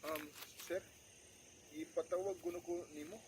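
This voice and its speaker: A man's voice: a little high, fairly even intonation, unhurried, noisy background, lightly acted. A man playing a humble, anxious young construction worker in a radio drama, with a Cebuano Filipino accent and a deferential, plain way of talking. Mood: respectful. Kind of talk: Philippine radio drama acting.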